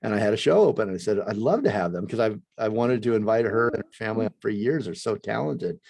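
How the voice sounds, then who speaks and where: Excitable male talk show host. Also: on the low side, fast talker, gravelly, dry recording. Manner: enthusiastic, rambling, many restarts. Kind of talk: casual chat.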